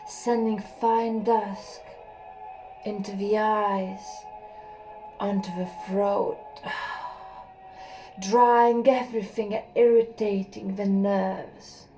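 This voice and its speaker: A young woman reading a literary story aloud in a slow, hushed, measured way, with an occasional slip. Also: mid-range voice, level tone, music in the background, low-quality recording. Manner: slow, hushed and measured, with a few slips and laughs. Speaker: audiobook reader.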